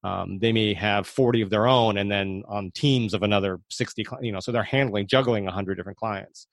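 A man's voice: fairly low pitch, a little fast, vocal fry, no room sound. A man hosting a podcast interview, talking casually and conversationally with his guest.

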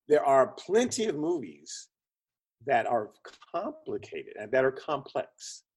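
A man with a medium-pitched, somewhat husky voice speaks as an expert guest in an interview. He explains conversationally and animatedly, acting out his examples in short, punchy phrases. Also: very animated, slow pace, pauses often, darkish tone. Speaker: interview guest, screenwriting consultant and producer.

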